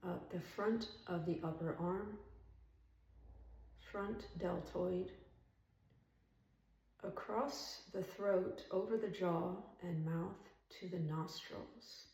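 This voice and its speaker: A woman leading a guided meditation as an instructor, speaking calmly and soothingly in measured phrases with long pauses. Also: lowish voice, creaky. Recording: roomy echo, distant from mic.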